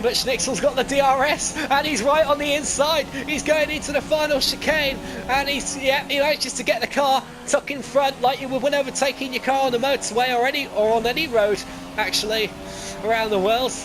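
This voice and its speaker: A man giving live play-by-play commentary on a sim racing league. He gets excited, jumps up at crashes and overtakes, and wanders into rambling asides and self-corrections. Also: extremely high pitch, fairly quick.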